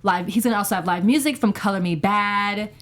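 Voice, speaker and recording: A woman's voice: medium pitch, brisk, fairly bright tone. A woman panelist casually reporting entertainment news and gossip on a talk show. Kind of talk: casual chat.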